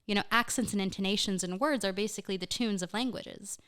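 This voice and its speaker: A woman's voice: medium pitch, quick pace, fairly bright tone, clear, resonant tone. A young woman chatting as a podcast guest, upbeat and talkative, laughing often and restarting her sentences. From United States. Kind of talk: casual conversational interview.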